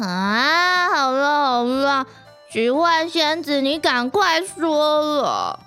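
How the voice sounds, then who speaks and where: A little mushroom creature, squeaky and bright, drags out its words slowly. It is childlike, eager and whiny, a storybook sprite that cannot wait its turn. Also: female voice, big pitch swings, faint music behind, exaggerated voice. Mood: whiny, impatient. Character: small hyperactive impatient mushroom sprite, childlike.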